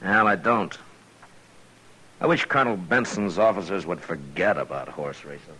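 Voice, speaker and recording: An actor playing a weary, dry, terse US marshal in a 1950s radio western. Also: male voice, sits low, normal speed, bright, forceful tone, breathy edge, exaggerated voice, low-quality recording. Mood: weary, reluctant. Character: weary, dry, world-worn US marshal of frontier Dodge City. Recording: old radio recording with background noise.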